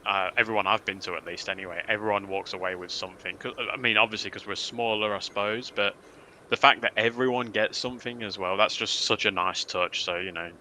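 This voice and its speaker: Man, very sharp bright tone, lowish pitch, casual panel chat, enthusiastic, appreciative. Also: fairly even intonation, brisk, slight background noise, low-quality recording. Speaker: livestream panellist.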